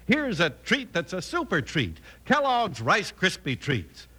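A man's voice: high voice, huge pitch swings, unhurried, bright, forceful tone, breathy edge. A man announcing and narrating in an enthusiastic, emphatic 1950s radio style, reading scripted copy. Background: music.

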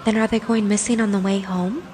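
A woman's voice: mid-range voice, fairly even intonation, a little fast, warm tone, lightly acted. A woman playing a hot-tempered, sarcastic teenage girl guardian in an anime fan dub, blunt and scolding. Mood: sceptical. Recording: reverberant, faint noise and ambience.

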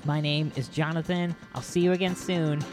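A man hosting a solo podcast in a casual, halting and enthusiastic way. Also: a little high, little pitch movement, a little mellow, soft background music. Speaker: podcast host.

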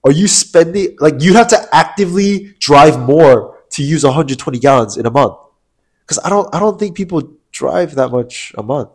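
A man's voice: on the high side, expressive, normal speed, darkish tone, slightly rough. A young man with a stuffed-up nose from allergies, co-hosting a casual podcast chat. He rambles, laughs often and restarts his sentences. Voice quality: nasal. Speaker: podcast co-host.

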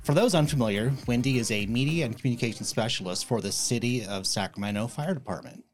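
A man's voice: medium pitch, fast talker, faint music behind. A man co-hosting a podcast, reading the sponsor spot and joking casually with the guest. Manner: playful, slangy banter. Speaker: podcast co-host.

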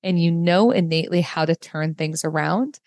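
A woman giving a guided reading in a calm, explanatory manner. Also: on the low side, normal speed, dry recording, clean studio sound. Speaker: human design reader, host.

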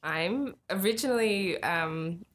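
A woman's voice: mid-range voice, lively intonation, slow, fairly bright tone, soft background music. A young Australian woman with an Australian accent, a guest in a casual interview, talking hesitantly with many ums and easy laughs. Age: young adult.

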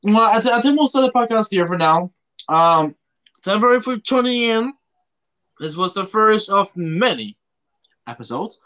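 Higher-pitched young-sounding male podcast host, halting. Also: sing-song, pushed and bright, rough recording. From Canada. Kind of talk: casual podcast chat.

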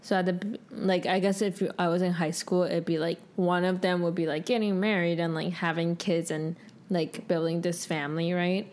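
A woman's voice: lowish voice, little pitch movement, moderate pace, a little mellow. A young adult woman co-hosting a podcast in casual chat, thinking aloud in informal speech peppered with "like". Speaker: podcast co-host.

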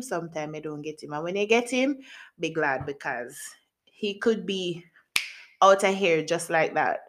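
A woman's voice: lowish voice, a bit bright. A woman in her late twenties hosting her own podcast, chatting candidly and playfully with frequent laughs. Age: late 20s. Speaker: podcast host. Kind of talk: casual solo podcast chat. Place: home in Japan.

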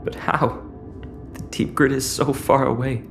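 A man's voice: mid-range voice, fairly even intonation, measured pace, faint music behind, natural voice. An actor playing a wounded, distrustful teenage boy in a sci-fi audio drama. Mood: searching.

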